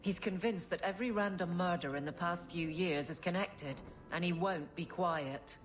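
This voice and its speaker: A woman's voice: lowish voice, moderate pace, lightly acted, rough recording. An actress playing a pragmatic woman official in a video game, dry and businesslike. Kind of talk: video game cutscene acting.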